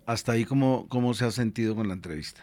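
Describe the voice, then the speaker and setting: A man's voice: medium pitch, quick pace, dark tone. Teasing, irreverent Colombian radio host, a man. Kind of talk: informal, slangy radio interview.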